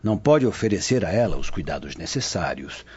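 An actor with a Brazilian accent playing a formal, scheming Roman gentleman in a radio drama. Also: low-pitched, big pitch swings, brisk, breathy edge, heavily acted. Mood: formal, insinuating. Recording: dull, muffled studio track.

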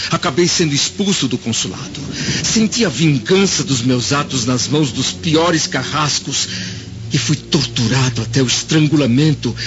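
A man's voice: mid-range voice, lightly acted. Troubled Roman senator played by a man, middle register, grave and anguished radio-drama style, dull recording. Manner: solemn, anguished, theatrical recounting. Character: troubled Roman senator recounting a haunting vision of past life. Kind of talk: dramatic radio play acting. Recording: dull lo-fi audio with loud storm noise.

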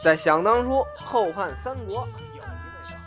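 A man playing a cheeky young amateur comic performer in an audio drama, with a quick, needling way of talking back. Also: high voice, huge pitch swings, fairly bright tone, faint music behind, full character voice. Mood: declamatory. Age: young adult. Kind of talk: audio drama acting with crosstalk patter.

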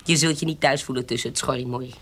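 An actress playing a shrewd, defiant adventuress in an audio period drama, guarded, cool and commanding. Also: female voice, rumbling low, steady tone, rapid-fire, fairly bright tone, slight background noise. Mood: conspiratorial. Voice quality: husky. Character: shrewd, defiant adventuress, forger and courtesan posing as noble. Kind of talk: audio drama acting in Dutch period piece.

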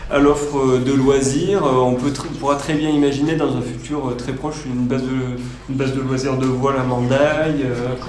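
A man's voice: mid-range voice, steady tone, moderate pace, few pauses, a little mellow, lightly acted. A man playing a small-town mayor at an improvised public meeting, hesitant and full of fillers as he boasts of grand plans. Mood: boastful. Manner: hesitant, many fillers, promotional. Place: workshop room. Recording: echoey room with background noise.

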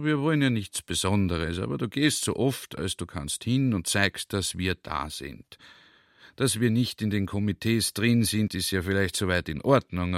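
A senior officer acted by a man in a radio play, giving orders in a chummy, familiar way. The voice is low, and sounds dry and close. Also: fairly bright tone, no echo. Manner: familiar, instructing. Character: chummy, commanding senior Austrian army officer.

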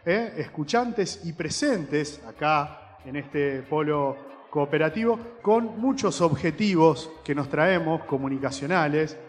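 A man's voice: a little high, lively intonation, takes it slowly, big-room sound, faint music behind. An Argentine man from Rosario hosting a live community radio broadcast outdoors at a festival, chatty and joking. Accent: Rosario Argentine Spanish. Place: outdoor festival grounds, stage nearby.

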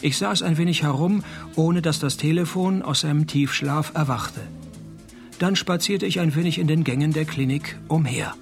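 An actor playing a wry private detective who narrates and talks in a dry, sarcastic deadpan in a radio play. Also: male voice, a little high, moderate pace, creaky, faint music behind. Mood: wry, matter-of-fact. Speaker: narrator and lead character.

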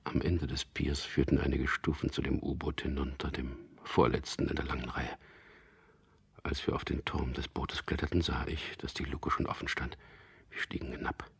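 An actor in a German radio drama playing a resolute man on a secret mission, narrating deliberately and introspectively in a gravelly, husky, hushed voice. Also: extremely low pitch, little pitch movement, exaggerated voice. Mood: tense recollection. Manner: deliberate, introspective, recounting events.

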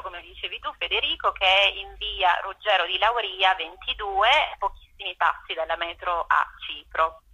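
A woman being interviewed on radio as a festival co-director, explaining things spontaneously with hesitant, halting phrasing. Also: medium pitch, bright, forceful tone, husky, muffled recording.

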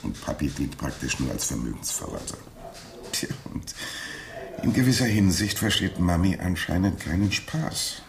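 A wry police inspector in a radio play. The voice is extremely low and gravelly, yet lively in melody, with officious stock phrases and evasive hesitations. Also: male voice, moderate pace, noisy background, full character voice. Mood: wry, confiding. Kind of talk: 1980s German radio drama acting.

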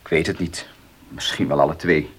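Deep, gruff, stubborn farmer. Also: male voice, steady tone, moderate pace. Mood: curt, bitter. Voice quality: low, slightly husky. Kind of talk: Dutch radio drama acting.

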